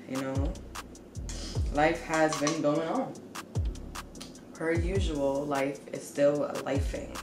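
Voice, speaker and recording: A woman's voice: sits low, echoey room. A woman in her twenties talking casually to the camera while doing her makeup, a little congested, with plenty of "like" and "you know.". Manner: casual and candid, many "like" and "you know" fillers. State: slightly congested. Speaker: beauty vlogger. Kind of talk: chatty get-ready-with-me vlog. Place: small room with shelves, indoors.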